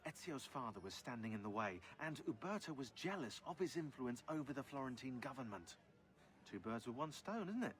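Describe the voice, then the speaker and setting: An actor playing a wry modern researcher who delivers a conversational, sardonic briefing in a video game. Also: male voice, medium pitch, very animated, brisk, a little hiss or hum, natural voice. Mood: wry, informative. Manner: sardonic, informal. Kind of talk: conversational scripted narration in a game.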